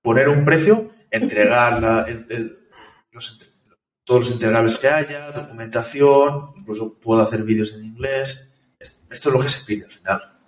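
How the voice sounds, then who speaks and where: A man, a software developer, talking casually in an interview, thoughtful and hesitant, with many restarts. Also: mid-range voice, normal speed. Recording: echoey room, distant from mic.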